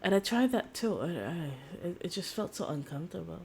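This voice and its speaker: A woman's voice: fairly low pitch, expressive, moderate pace, gravelly, a little mellow. A woman streamer chatting casually while gaming, in a rambling, giggly way.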